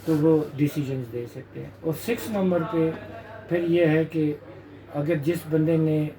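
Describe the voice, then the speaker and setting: A Pakistani man with a slightly high voice gives an informal legal explanation. He works through it methodically, point by point. Clear chatter of other people runs behind him. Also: measured pace, low-quality recording. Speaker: lawyer explaining law.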